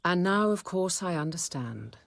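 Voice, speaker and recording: Convent apothecary nun for radio drama: lowish voice, guarded, formal, conscientious. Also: female voice, lively intonation, normal speed, gravelly. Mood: brooding narration. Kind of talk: radio drama acting and narration.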